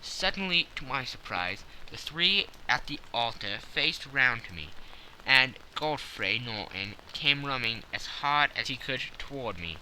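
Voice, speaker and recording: Guy reading a dramatic audiobook, really sharp bright voice, animated delivery, bit of noise behind him. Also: mid-range voice, measured pace, poor audio. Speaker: audiobook narrator. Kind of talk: scripted dramatic story narration.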